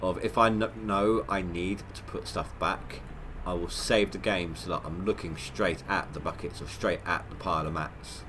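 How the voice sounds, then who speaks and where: A man's voice: low-pitched, steady tone, moderate pace, hardly any pauses, noisy background. A man with a head cold, sounding nasal, giving rambling, stop-start commentary on his gameplay livestream. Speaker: gaming livestreamer.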